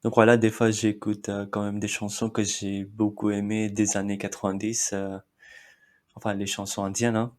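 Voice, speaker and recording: A man in his thirties from India, a Marathi speaker at heart, talks in a somewhat low voice. He answers with frequent pauses and fillers, often breaking into a short laugh. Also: little pitch movement, darkish tone. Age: 30s. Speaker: podcast guest. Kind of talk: casual interview chat.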